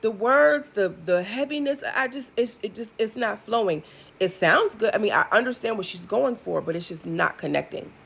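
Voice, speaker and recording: Sassy gravelly-voiced woman vlogger. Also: medium pitch, moderate pace. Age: 30s. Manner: sassy, blunt, animated with frequent ums and asides. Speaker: music commentary vlogger. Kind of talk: casual opinion commentary.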